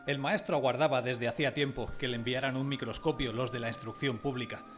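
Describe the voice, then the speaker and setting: A man plays a wistful storyteller looking back on a village boyhood in a radio drama. His voice is mid-pitched, and quiet music runs underneath the narration. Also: steady tone, brisk, lightly acted. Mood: wistful, storytelling.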